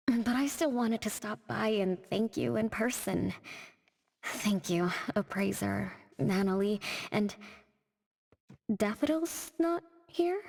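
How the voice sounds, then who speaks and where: A woman voicing a gentle, wistful noblewoman in an anime game, speaking politely and softly. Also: fairly low pitch. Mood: polite, grateful. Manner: polite, soft-spoken, reflective. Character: gentle, wistful, long-lived young noblewoman. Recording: music behind the voice.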